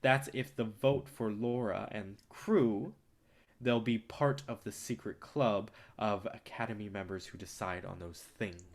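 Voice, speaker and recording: An actor in a staged reading playing a domineering, cynical film distributor with a condescending, lecturing manner. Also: male voice, on the low side. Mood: angry, contemptuous. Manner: condescending, rapid-fire lecturing.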